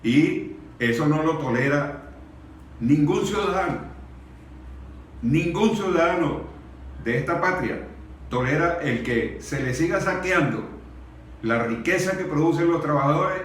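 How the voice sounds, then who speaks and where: A Venezuelan man giving an earnest, deliberate and emphatic public speech in Spanish, pausing between short phrases. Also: on the high side. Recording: very echoey room, distant mic, faint noise.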